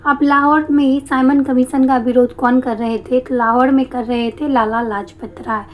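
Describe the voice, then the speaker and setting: Woman teacher, throat a bit hoarse, high voice, walks through exam questions one by one. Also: level tone, normal speed, highs cut off. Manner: explains step by step, asks and answers her own questions. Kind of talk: exam-prep history lesson.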